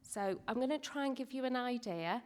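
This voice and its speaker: A woman's voice: fairly high pitch, expressive, a little mellow. A woman with a snuffly, hay-fever-affected voice, presenting carefully and formally to a council committee. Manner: careful and measured, with some hedging. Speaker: presenting officer. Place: council meeting room.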